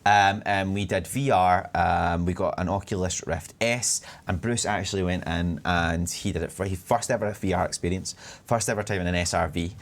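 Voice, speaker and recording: Chatty Scottish young man, low voice. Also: steady tone, moderate pace, hardly any pauses. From Scotland. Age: 20s. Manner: chatty, rambling, reads chat aloud. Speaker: community manager. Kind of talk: live stream chat.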